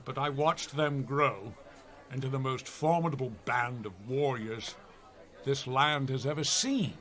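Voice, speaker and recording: An actor playing a stubborn, earnest dwarf warrior in a comedy radio play, speaking in a declamatory storyteller's way. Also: male voice, mid-range voice, unhurried, fairly bright tone, close to an everyday voice. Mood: solemn, passionate. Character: gruff, battle-hungry male dwarf warrior, stubborn and earnest.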